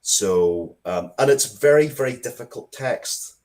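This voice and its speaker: A man speaking casually as an interview guest, thoughtful and halting, often repeating words as he works out his point. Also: mid-range voice, lively intonation, darkish tone. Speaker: interview guest, writer of Dracula comics and media.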